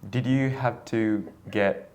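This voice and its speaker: A man hosting an interview, asking questions in slow, formal phrasing. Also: medium pitch, fairly even intonation, darkish tone.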